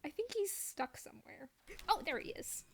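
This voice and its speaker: A woman's voice: very high-pitched, expressive, moderate pace, a little hiss or hum. A woman chatting casually while playing a game on stream, playful and self-deprecating with silly exclamations. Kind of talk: casual gameplay chat.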